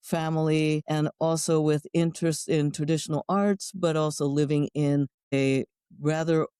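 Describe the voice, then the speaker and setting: Middle-aged Japanese-accented woman guest; warm low-key tone, wry, measured delivery. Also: steady tone, smooth, no echo, studio quality. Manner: thoughtful, wry, list-like phrasing. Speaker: guest sexologist and educator. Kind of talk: conversational interview answers.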